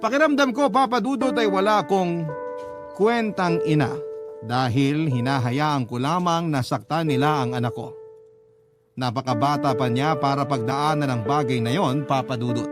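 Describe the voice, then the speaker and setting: A man's voice: on the high side, normal speed. A man narrating a scripted first-person story for a radio drama in a dramatic storytelling style. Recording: loud music bed under the voice.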